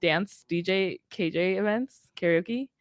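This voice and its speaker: A woman's voice: on the low side, takes it slowly. A young woman, chatting casually as she interviews a guest as a radio host. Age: young adult. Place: small indoor radio studio. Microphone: close studio mic on boom arm, headphones. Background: music playing.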